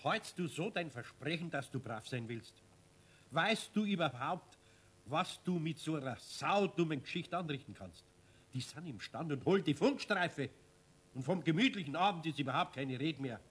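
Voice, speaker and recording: Exasperated Bavarian craftsman: middle-aged, fairly high husky voice, grumbling and scolding, seventies radio play. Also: lively intonation, normal speed, a bit bright. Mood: angry scolding. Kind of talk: 1970s children's radio play acting.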